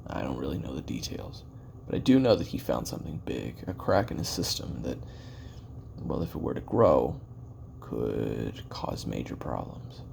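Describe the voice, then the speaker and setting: A man playing a weary, regretful ex-assassin in an audio roleplay, recording his memoirs in a halting, confessional, musing way with a gravelly voice. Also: extremely low pitch, very animated, a little mellow, a little hiss or hum, full character voice. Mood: musing, guarded. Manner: halting, musing, trailing pauses and self-corrections.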